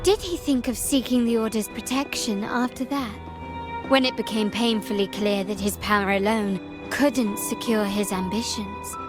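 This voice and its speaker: A woman playing a resolute, calculating young heiress in a game drama, speaking in a measured, deliberate way. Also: medium pitch, fairly even intonation, over music, close to an everyday voice. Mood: cold, needling. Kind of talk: dramatic video game voice acting.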